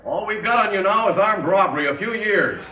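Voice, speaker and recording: A man's voice: sits high, animated, a little fast. An actor playing a stern, urgent policeman calling out firm orders in an old-time radio drama. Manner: calling out firmly from a distance. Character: stern, urgent male policeman negotiating from outside. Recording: distant, echoey, tinny radio recording with hiss.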